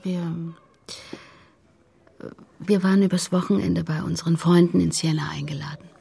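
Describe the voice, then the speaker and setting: An actress playing a grieving, guarded middle-aged mother in a radio play, very husky, breathy and creaky, speaking haltingly. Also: lowish voice, steady tone, warm tone, slight background noise. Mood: subdued, halting. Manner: halting, hesitant.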